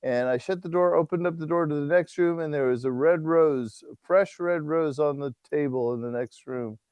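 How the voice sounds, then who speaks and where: A man, a priest, chatting on a livestream and telling stories in an animated way, laughing often. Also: a little high, normal speed, clear, resonant tone, no room sound, dull audio. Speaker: priest and guest author. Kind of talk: casual livestream conversation.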